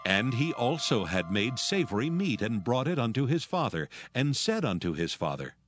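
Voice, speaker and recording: A man narrating a dramatized scripture reading in a measured, solemn storytelling manner. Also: medium pitch, sing-song, faint music behind.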